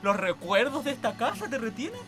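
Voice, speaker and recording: A woman's voice: a little high, big pitch swings, fairly quick, music in the background. An actress playing a devoted, wounded woman who has waited years for her lover, speaking with heartfelt pleading in a radio play. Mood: pleading, hopeful. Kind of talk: radio theatre acting.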